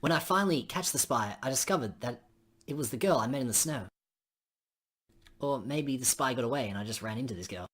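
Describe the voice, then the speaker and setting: A woman streamer with a remarkably low voice reads visual novel dialogue aloud. Her tone is husky and unclean around the edges, and she slips between the script and casual commentary. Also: expressive, fairly quick. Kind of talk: reading game dialogue aloud with casual asides.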